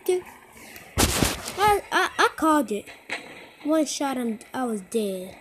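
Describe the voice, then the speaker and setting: A teenager giving casual, excitable commentary while playing a mobile game, repeating short phrases and calling out to other players. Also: sits high, slow pace, background noise. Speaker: gamer. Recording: game music loud behind voice.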